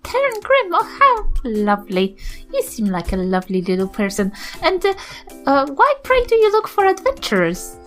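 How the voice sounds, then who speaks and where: A woman's voice: sits high, wildly swooping pitch, moderate pace, faint music behind. A woman voicing a kindly, chatty old granny adventurer, fussing and sweetly polite in a tabletop game. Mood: sweet, curious.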